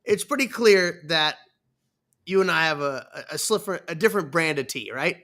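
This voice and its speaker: Bright-voiced male podcast host in his thirties. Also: fairly high pitch, animated, normal speed. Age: 30s. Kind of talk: casual interview chat.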